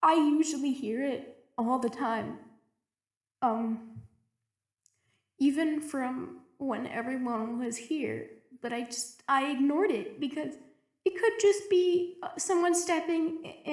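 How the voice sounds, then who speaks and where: Scared lone female researcher, played by a woman, high voice, slow halting delivery with stammers, audio drama log in an echoey room. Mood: hushed dread. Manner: hesitant, stammering, anxious. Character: frightened, isolated young woman researcher keeping a log. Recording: echoey roomy recording.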